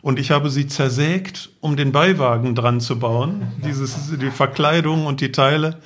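A man's voice: a little high, measured pace. A middle-aged man telling detailed anecdotes informally as a guest on a podcast, in a matter-of-fact way. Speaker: podcast guest, motorcycle traveller.

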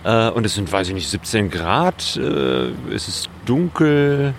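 A man narrating a casual travel vlog outdoors, with a relaxed manner full of fillers. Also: on the low side, unhurried, creaky, a little hiss or hum. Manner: relaxed, filler-heavy talk. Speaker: travel vlogger.

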